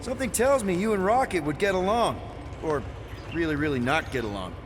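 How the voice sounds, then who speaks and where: An actor playing an easygoing, wisecracking young male space adventurer in a video game, with an American accent and a casual, halting delivery. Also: sits high, lively intonation, long reverb tail, background noise. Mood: joking, casual. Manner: casual, hesitant, joking. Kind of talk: naturalistic video game voice acting.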